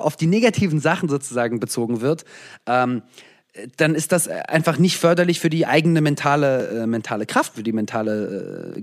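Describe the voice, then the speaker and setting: A man from the former Soviet Union answering interview questions thoughtfully, with frequent pauses, fillers and restarts. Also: mid-range voice. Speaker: guest.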